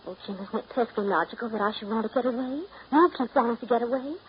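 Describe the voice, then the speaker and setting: Radio drama, late 1930s: actress as clinging, self-pitying woman, emotional and halting delivery, muffled recording. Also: fairly high pitch, a little hiss or hum, close to an everyday voice. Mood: frantic self-pity. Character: restless, needy, self-pitying woman afraid of getting old.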